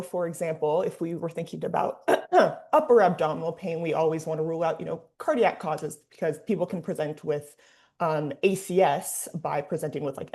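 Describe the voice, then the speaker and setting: A woman's voice: lowish voice, warm tone. A woman clinician talking through a case over a video call, thinking aloud with fillers. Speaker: clinician discussant. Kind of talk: teaching discussion.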